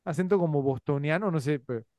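Male podcast co-host, high clear voice, rambling and filler-heavy. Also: quick pace, a little mellow, no room sound, studio quality. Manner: filler-heavy, rambling with frequent tag questions. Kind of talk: casual movie review chat.

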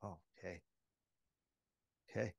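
A man hosting a podcast in casual chat, jokey and quick to laugh. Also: a little mellow, dry recording, studio quality. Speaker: podcast host.

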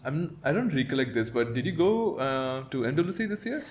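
A man hosts a tech podcast in a voice of middling pitch. He opens with an upbeat, energetic greeting, then moves into easy chat and questions for his guest. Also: moderate pace. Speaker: podcast host. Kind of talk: podcast hosting.

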